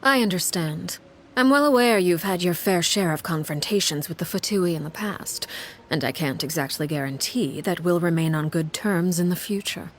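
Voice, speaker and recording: A woman playing a composed, commanding leader in a video game, speaking formally with measured, quiet authority. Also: on the low side, a little hiss or hum, close to an everyday voice. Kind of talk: dramatic video game dialogue. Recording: faint music behind the voice.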